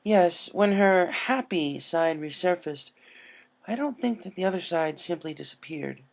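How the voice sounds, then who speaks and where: A narrator voicing a tender, regal young female alicorn princess in a gentle, formal, measured way for a story reading. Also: mid-range voice, animated, vocal fry, voice pushed up. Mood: calm, explanatory.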